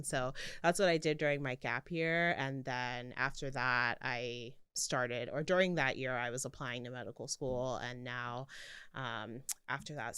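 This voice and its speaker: Low, bright, very clear young woman. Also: no echo, studio quality. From Trinidad. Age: 20s. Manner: giggly, laughs often, many fillers. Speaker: podcast guest, medical student. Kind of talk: casual chat.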